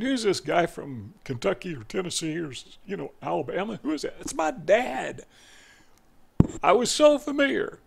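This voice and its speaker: A man's voice: fairly high pitch, vocal fry, husky. An older man with a Southern US accent, talking in a rambling, reflective monologue full of fillers. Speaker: vlogger. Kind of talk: casual monologue.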